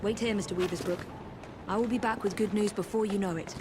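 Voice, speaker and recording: A woman's voice: mid-range voice, fairly even intonation, fast talker, heavy background noise, natural voice. An actress playing a resolute, composed woman detective hunting a killer in a video game drama. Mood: composed resolve. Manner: composed, determined.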